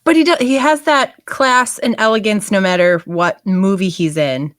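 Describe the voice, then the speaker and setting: An excitable woman guesting on a movie podcast, gushing and reacting quickly. Her voice sits mid-range for a woman and is recorded dry and close, with no room echo. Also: medium pitch. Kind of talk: casual movie discussion.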